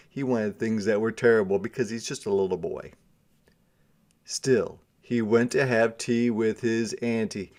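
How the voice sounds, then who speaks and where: A man reads a children's storybook aloud in the style of a teacher. His voice has a noticeable creak and he takes his time. He delivers the story dramatically and explains it in plainer asides. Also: lowish voice, a little mellow.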